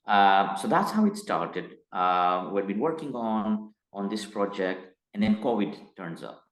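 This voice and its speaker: A middle-aged man from Tanzania, speaking as an interview guest in a measured, hesitant way that turns emphatic. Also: fairly low pitch, animated. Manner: measured, hesitant with frequent uh fillers, grows emphatic. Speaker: guest, company executive. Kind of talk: interview conversation. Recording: roomy echo.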